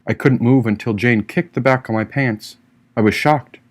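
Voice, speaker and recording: Expressive male audiobook narrator, mid-pitched. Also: normal speed. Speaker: author reading own audiobook. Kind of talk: dramatic first-person audiobook narration.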